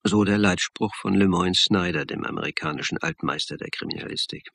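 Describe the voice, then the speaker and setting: Wry detective guy telling his case, dry and sardonic, creaky voice, close dead studio sound. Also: low voice, a little fast, fairly bright tone, no echo, full character voice. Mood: reflective, dry. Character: wry, reflective male police investigator narrating his case. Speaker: narrator. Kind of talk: first-person crime radio play narration.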